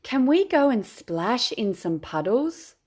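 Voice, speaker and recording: An Australian woman voicing an eager, excitable young boy puppy in a children's picture book, exclaiming keenly. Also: fairly high pitch, takes it slowly, husky, full character voice. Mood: eager, excited.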